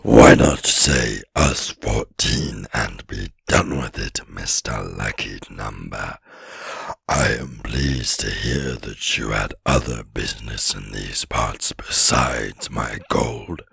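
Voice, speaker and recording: Wicked old dragon, raspy breathy growl, forceful and tense, storybook villain, gloating drawl. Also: male voice, rumbling low, dry recording, studio quality, voice pushed down, full character voice. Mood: mocking. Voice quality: gravelly, raspy, menacing. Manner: slow, gloating, sneering drawl. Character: ancient huge boastful male dragon, wicked and wily. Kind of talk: audiobook character voice.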